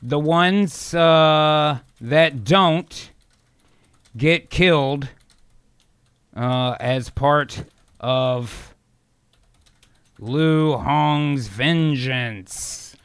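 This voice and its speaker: A man's voice: on the high side, extremely slow, long pauses, a bit bright, clean tone, dry recording. A man chatting casually as he brainstorms a story with others. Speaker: voice actor co-writing an improvised film story.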